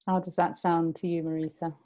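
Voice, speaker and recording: A woman who is an awakening coach and mindfulness instructor, co-hosting a casual conversation in a soft, gentle way with frequent giggles. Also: lowish voice, little pitch movement, fairly quick.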